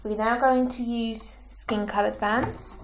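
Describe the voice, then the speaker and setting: Woman tutorial host, croaky and gravelly, slow patient delivery, faint clicks behind. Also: a little high, steady tone, slight background noise, low-quality recording. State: croaky, clearing her throat. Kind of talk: step-by-step craft tutorial.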